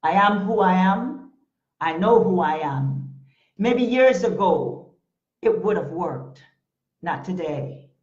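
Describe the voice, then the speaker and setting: A woman's voice: lowish voice, lively intonation, takes it slowly, a little mellow. A woman from Panama with a Caribbean English accent, hosting a live motivational talk show in an earnest, emphatic way with many hesitant fillers. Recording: roomy, dull lo-fi live stream.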